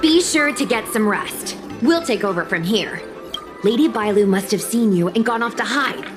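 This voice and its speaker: A woman voicing a brisk, capable young woman adventurer who takes charge in an anime-style game. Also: mid-range voice, animated, natural voice. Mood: businesslike. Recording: game music behind the voice.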